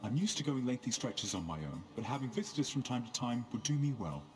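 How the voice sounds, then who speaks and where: A man's voice: medium pitch, sing-song, fast, close to an everyday voice. An actor as a weary, courteous man, lilting voice. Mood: friendly, weary. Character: weary, friendly lonely condemned man toiling with a boulder. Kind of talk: video game voice acting. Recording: game music behind.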